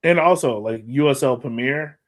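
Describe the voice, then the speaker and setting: A man's voice: mid-range voice, moderate pace. A man hosting a casual soccer podcast, chatting in a slangy, informal way. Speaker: podcast host.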